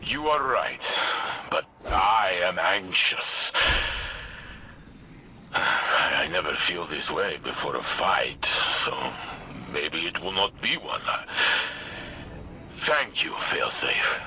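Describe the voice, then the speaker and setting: An actor playing a noble, gentle male warrior robot in a video game, speaking formally and solemnly. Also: low voice, big pitch swings, slight background noise, heavily acted. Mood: anxious, heavy-hearted. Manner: formal, deliberate, solemn, few contractions. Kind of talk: video game dramatic dialogue.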